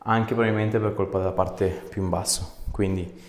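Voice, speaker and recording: Low-voiced chiropractor, echoey room. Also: male voice, fairly even intonation, normal speed. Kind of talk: casual clinical instructions and chat with a patient. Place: small indoor clinic treatment room. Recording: echoey treatment room, faint noise.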